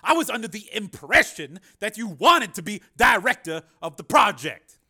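A man reading an audiobook voices a calm, wry, manipulative male politician. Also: high voice, normal speed, husky, pitched above the performer's own voice, full character voice. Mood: calmly menacing.

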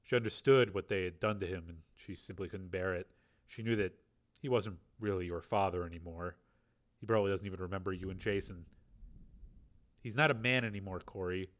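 A man voicing an older crime boss in an audiobook, talking softly and calmly to reassure a scared girl. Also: low-pitched, a little fast, lightly acted. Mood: grave. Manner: soft, calm and reassuring. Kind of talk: audiobook character reading.

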